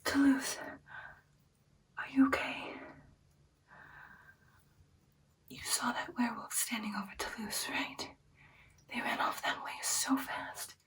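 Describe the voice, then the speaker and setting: A woman playing a caring, anxious vampire girlfriend in a whispered ASMR roleplay, speaking softly and haltingly in a soothing way. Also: a little high, fairly even intonation, far from the mic, rough recording. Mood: alarmed, urgent. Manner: soft, hushed, halting, soothing personal attention.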